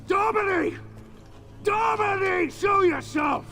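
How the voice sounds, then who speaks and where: An actor, a man, playing a commanding knight in a video game, forcefully calling out orders and challenges in battle. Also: very high voice, takes it slowly, creaky, music in the background, heavily acted. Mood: urgent, commanding.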